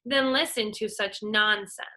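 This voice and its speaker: A woman's voice: mid-range voice, a little slow, bright, forceful tone. A woman voicing a grumpy, snappish male iguana in a storybook read-aloud.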